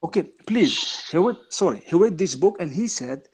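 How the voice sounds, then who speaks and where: A man's voice: high-pitched, animated, normal speed, a little mellow, breathy edge. A man whose first language is Arabic, a debate guest who keeps pleading to be allowed to continue, hesitating with many fillers. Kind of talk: heated online panel debate.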